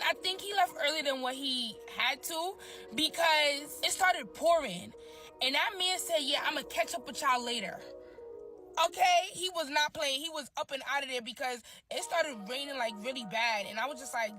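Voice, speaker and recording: A woman with a high, bright voice chats casually and tells a story with lots of slang and expression. Music plays clearly behind her. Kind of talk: casual chat.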